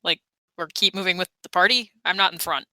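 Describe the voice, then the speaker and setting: Bright-voiced woman playing a tabletop game. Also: on the low side, a little fast, dry recording. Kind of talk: casual game chat.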